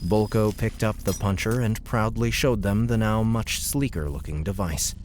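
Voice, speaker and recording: A man narrates fiction in a low voice. The delivery is scripted third-person storytelling, with slight noise underneath. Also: moderate pace, darkish tone. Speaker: audiobook narrator.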